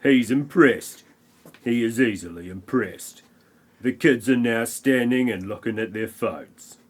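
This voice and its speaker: A man's voice: medium pitch, a little slow. A man narrating a comic parody audio play in a wry, mocking manner.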